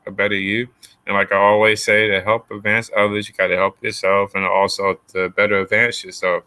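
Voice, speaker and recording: An upbeat man hosting a casual interview podcast, laughing easily and speaking in loose, chatty phrases. His voice is fairly low but bright and forceful. The sound is dry and close, with no room around it. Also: dry recording, low-quality recording. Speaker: podcast host.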